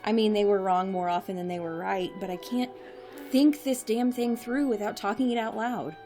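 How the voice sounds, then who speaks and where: A woman plays a troubled but determined heroine in an audio drama. Her mid-pitched voice is wry and insistent, with sighs. Music plays faintly behind her. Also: steady tone, moderate pace, dark tone, lightly acted. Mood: pensive. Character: troubled, determined woman thinking problems through aloud.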